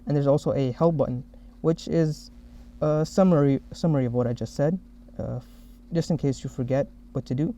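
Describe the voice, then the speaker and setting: A man narrating a software demo as the developer who made it, explaining each step. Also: on the high side, a little fast. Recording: dull, muffled lo-fi audio.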